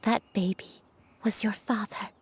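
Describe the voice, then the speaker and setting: An actress playing a frail, tender old grandmother in a video game, halting and forgetful, haunted by guilt. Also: mid-range voice, fairly even intonation. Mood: emotional remembering. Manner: slow, halting, breathy whispers when remembering.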